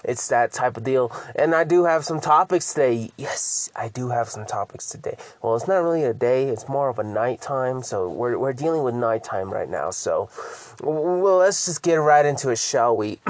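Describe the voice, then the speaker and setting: A man's voice: mid-range voice, lively intonation, normal speed, dry recording. A man hosting his own podcast in casual solo chat, rambling and stammering, swearing freely as he talks. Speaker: podcast host.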